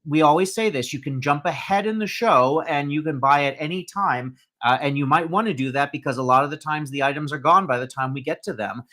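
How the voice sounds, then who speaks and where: A man's voice: on the high side, a little fast, hardly any pauses, bright, forceful tone. Chatty man hosting a live sale. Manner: chatty, describes items for sale. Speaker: host of live shopping show. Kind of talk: casual live-stream selling.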